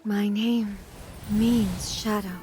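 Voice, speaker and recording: A woman playing a solemn, grieving thunder goddess in a video game, speaking in a grave, formal, measured way. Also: mid-range voice, fairly even intonation, warm tone, slight background noise. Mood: grieving, hushed. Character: solemn, grieving female thunder god ruler obsessed with eternity. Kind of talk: dramatic video game cutscene acting. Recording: music behind.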